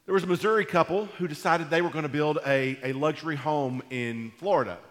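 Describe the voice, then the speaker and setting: A man preaching to his church in a folksy, rambling way, his voice gone deep and congested from allergies. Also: fairly quick, big-room sound. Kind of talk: sermon. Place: church sanctuary.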